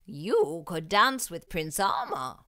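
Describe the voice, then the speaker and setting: A woman voicing a kindly, encouraging male bat headmaster in a storybook, with a slow, grand delivery. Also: lowish voice, very animated, fairly bright tone, no room sound, clean studio sound, pitched below the performer's own voice, full character voice. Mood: upbeat, reassuring.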